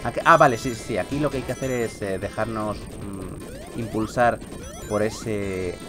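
A man's voice: on the low side, unhurried. Chatty Spanish man over game music. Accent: Peninsular Spanish. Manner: chatty, joking, mildly sweary. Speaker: gaming video host. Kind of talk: casual Let's Play commentary. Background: video game music.

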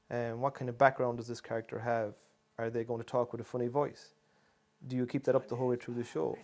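A man's voice: mid-range voice, darkish tone. An Irish man in his thirties, a stage comedian, explaining his craft in an interview. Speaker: pantomime comedian. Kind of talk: interview talk. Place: living room, seated in armchair.

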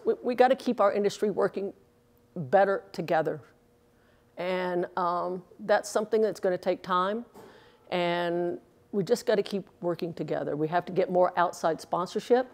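A woman in her sixties talks in an interview, chatty and earnest, drifting off into fillers. Her voice sits low and has a gravelly edge. She is recorded close on a lapel mic in an indoor bowling alley. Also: a little slow, pauses often. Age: 60s. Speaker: interviewee, former pro bowler. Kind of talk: casual interview answers.

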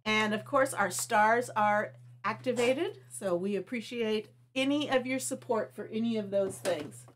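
A woman's voice: on the high side, measured pace, slight background noise. A middle-aged woman hosting a live craft demo from her home craft room, chatty and rambling, sniffling with allergies. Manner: chatty, friendly, rambling with restarts. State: stuffy nose, sniffling from allergies. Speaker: craft show host.

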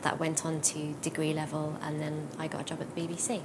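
A woman's voice: monotone, fast talker. A middle-aged British woman talking informally about her job as a sound engineer in an interview. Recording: noisy with low rumble.

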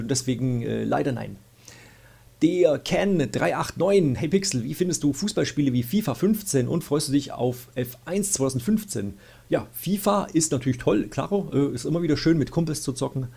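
A man's voice: medium pitch, creaky, mellow, breathy edge. A young man hosting a weekly game show, casually answering viewer questions and thinking aloud with plenty of fillers. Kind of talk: casual chat. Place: small room indoors, at a desk.

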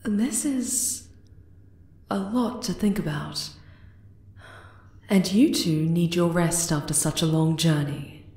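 Woman acting a slow, low-voiced spider queen. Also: reverberant. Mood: composed, reassuring. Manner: measured, gently commanding. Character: regal, powerful, affectionate drider spider queen. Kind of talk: ASMR roleplay acting.

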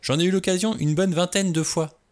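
A man's voice: high-pitched, steady tone, brisk, voice pushed up, close to an everyday voice. A man reading an audiobook, voicing a cool, self-assured man with a dry, mocking way of talking.

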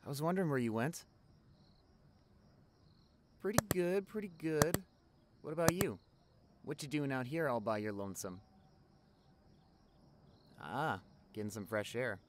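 A man's voice: mid-range voice, expressive, a little slow, pauses often, background noise, lightly acted. A young man playing a caring, chatty college best friend in an ASMR roleplay, talking casually and directly to the listener. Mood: animated, playful.

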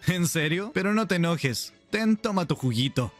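A man's voice: on the high side, lively intonation, moderate pace, lightly acted. A man playing an affectionate, playful and protective pirate captain in an anime roleplay, with music behind him. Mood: teasing. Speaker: roleplay performer. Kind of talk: ASMR anime roleplay acting.

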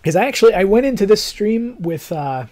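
A young man with a fairly high-pitched, mellow voice that sounds a little hoarse. He talks like a game streamer chatting to viewers, easygoing and rambling, with a technical bent. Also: animated, fairly quick. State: slightly hoarse. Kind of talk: casual livestream chat. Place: living room, seated in armchair indoors.

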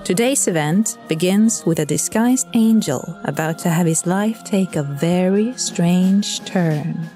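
Woman as a mellow, politely condescending angel messenger. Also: on the low side, slow pace, few pauses, soft background music. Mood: storytelling. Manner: politely condescending, dryly wry. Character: chatty, bureaucratic female angel messenger addressing prophets. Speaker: narrator and in-story host. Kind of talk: scripted narration, addressing listeners.

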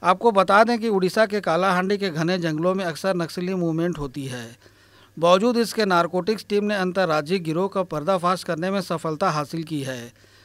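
A male reporter reading a scripted news report in a formal, even manner. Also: high voice, normal speed, dry recording. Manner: formal, even read delivery.

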